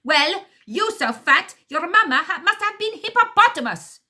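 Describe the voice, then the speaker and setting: Woman putting on a gruff, hot-headed brute. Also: extremely high pitch, very animated, voice pushed up, full character voice. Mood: furious. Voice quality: gruff, heavily accented. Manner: shouting, insulting, drawn-out words. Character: gruff loud-mouthed foreign man, quick-tempered.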